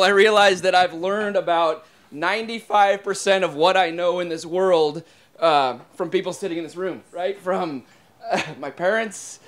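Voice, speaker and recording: A man giving an unprepared, reflective toast at a wedding dinner, pausing often. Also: high voice, a bit bright. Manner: reflective, hesitant. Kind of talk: impromptu toast.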